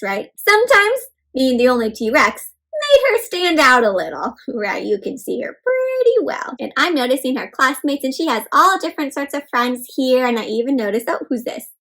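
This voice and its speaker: A woman leading an interactive children's read-aloud as a teacher, asking young listeners questions and pausing for their answers. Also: sits high, very animated, a bit bright. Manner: asks listeners questions, pauses, addresses children as friends.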